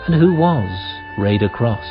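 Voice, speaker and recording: A man narrating a radio play as a measured, reflective storyteller. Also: medium pitch, expressive, highs cut off, over music, close to an everyday voice.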